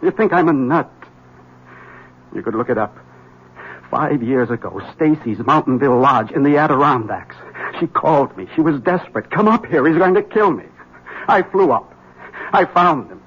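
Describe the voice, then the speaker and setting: Desperate husband, higher-pitched husky voice, urgent and insistent, vintage radio drama, dull lo-fi recording. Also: male voice, exaggerated voice. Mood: distraught. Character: agitated, desperate husband convinced his wife is a witch. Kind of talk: old-time radio drama acting.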